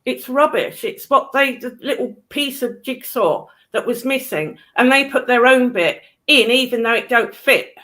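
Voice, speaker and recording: A British woman talks casually with a slightly raised pitch. She is blunt and dismissive, using everyday slangy speech as she chats. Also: measured pace, few pauses, fairly bright tone. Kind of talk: casual chat.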